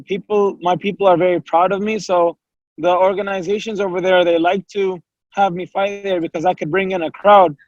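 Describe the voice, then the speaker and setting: High-voiced young man, dry dull sound. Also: flat delivery, normal speed, no room sound. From United States. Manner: earnest, talks freely in long runs with fillers and self-quotes. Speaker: professional MMA fighter, guest. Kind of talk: casual interview answers.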